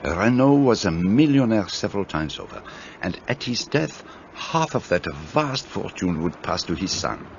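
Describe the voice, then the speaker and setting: A man's voice: fairly low pitch, very animated, measured pace, highs cut off, slight background noise. An actor playing a shrewd, fussy Belgian detective in a radio play, speaking precisely and courteously as he lays out his reasoning. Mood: explaining.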